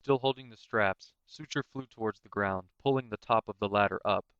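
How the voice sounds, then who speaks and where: A man's voice: lowish voice, fairly quick, fairly bright tone, clear, resonant tone, dry recording, lo-fi sound. A man reading a scripted story aloud as an amateur narrator.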